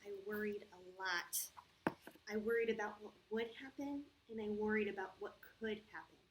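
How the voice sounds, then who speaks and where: A woman hosting a story time for young children, talking in simple, patient, expressive phrases with frequent questions. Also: mid-range voice, moderate pace, noisy background. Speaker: children's church story host.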